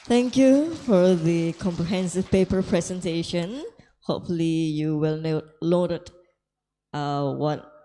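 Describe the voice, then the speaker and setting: A woman moderating a conference, introducing speakers formally with a careful, halting delivery. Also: mid-range voice, a little mellow, long reverb tail. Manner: slow, careful, halting announcing.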